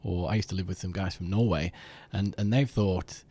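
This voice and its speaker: A man with a deep voice talks casually in conversation. His delivery is hesitant and halting, feeling its way through each sentence. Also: fairly even intonation, warm tone, husky. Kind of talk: casual chat.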